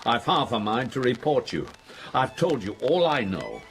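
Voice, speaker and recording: Pompous, blustering father, swooping pitch. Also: sing-song, normal speed, a bit bright. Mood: indignant, defensive. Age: middle-aged. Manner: blustering, authoritative. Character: pompous, irritable middle-aged English factory-owning father. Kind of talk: radio drama acting. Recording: music under the voice.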